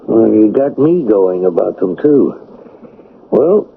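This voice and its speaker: Radio drama: older married man, mildly scolding, lively intonation, narrow old broadcast sound. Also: lowish voice, takes it slowly, creaky, heavily acted. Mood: grumbling, curious. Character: grumbling, protective older husband on a cruise. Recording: thin, noisy vintage radio recording.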